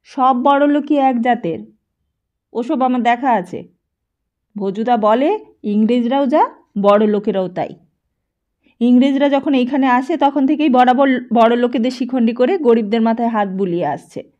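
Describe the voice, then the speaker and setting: An audiobook character, played by a woman, a scornful teenage boy with a high, soft-edged voice. He badgers and interrogates, cynical and dismissive, on a slightly dull recording. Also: unhurried, pauses often, close to an everyday voice. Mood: indignant.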